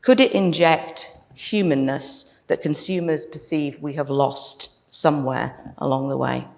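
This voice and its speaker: A woman giving a prepared conference talk, measured and slowing down to land her closing points. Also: deep voice, creaky, darkish tone. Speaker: conference speaker.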